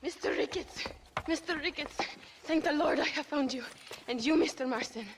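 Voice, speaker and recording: A woman's voice: high-pitched, normal speed, noisy background, lightly acted. An actress playing an earnest, anxious young woman in a video game, speaking formally and urgently. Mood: urgent, relieved. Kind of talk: video game cutscene acting.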